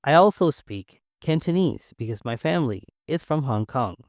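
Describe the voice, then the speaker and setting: A young man from China with a Chinese accent, whose first language is Mandarin, teaching English in an encouraging, clear and simple way. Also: medium pitch, animated, moderate pace, a little mellow, no echo, studio quality. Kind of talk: scripted English-learning lesson.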